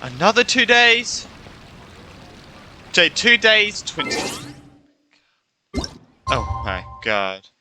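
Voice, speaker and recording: A man giving casual, exclamatory playthrough commentary as an excitable game streamer. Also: sits high, extremely slow, long pauses, over music. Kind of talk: casual playthrough commentary, reading lines along.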